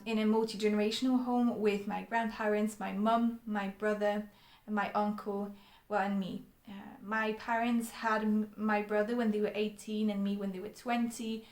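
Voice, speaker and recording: Polish woman telling her story, flat-voiced. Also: mid-range voice, measured pace, echoey room. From Poland. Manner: earnest, with frequent ums and restarts. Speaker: vlogger. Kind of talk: personal storytelling to camera.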